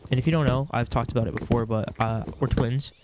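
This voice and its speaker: A man's voice: mid-range voice, fairly even intonation, fast talker, very noisy. A young man with a stuffy nose, chatting casually and rambling while he plays a game. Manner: rambling, with many fillers. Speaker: Minecraft vlogger. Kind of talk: casual chat while gaming.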